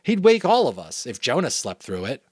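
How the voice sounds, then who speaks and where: A man's voice: medium pitch, wildly swooping pitch, normal speed, breathy edge, dry recording, clean studio sound. A man voicing a matter-of-fact little girl in a storybook reading, bossy and explaining.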